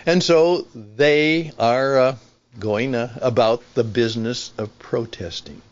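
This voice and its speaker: An older man, over sixty, hosting a solo radio-style podcast as a veteran broadcaster, talking conversationally in deliberate, emphatic phrases and often rewording as he goes. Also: mid-range voice, highs cut off. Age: 60s or older.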